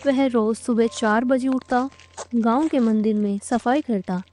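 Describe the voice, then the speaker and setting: A woman narrating a scripted moral story in a measured, dramatic storytelling manner. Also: a little high, warm tone, soft background music.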